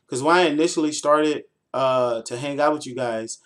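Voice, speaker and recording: A man in his mid-twenties with an American accent and a fairly high voice. He tells stories like a streamer chatting to viewers, loose and slangy, with a playful, animated delivery. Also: moderate pace. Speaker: livestreamer. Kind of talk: casual, informal storytelling.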